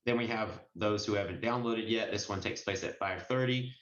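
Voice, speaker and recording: Low-voiced man training over a roomy call. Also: moderate pace. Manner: explanatory, step-by-step, addresses listeners directly. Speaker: notary trainer and signing agency presenter. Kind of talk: informal screen-share training walkthrough. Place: indoors on a group video call. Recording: muffled, roomy online call audio.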